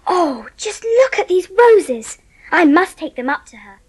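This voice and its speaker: A woman's voice: very high-pitched, very animated, unhurried, noisy background, full character voice. A woman playing an earnest, decisive elder girl in a dramatised audiobook, speaking expressively. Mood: decided.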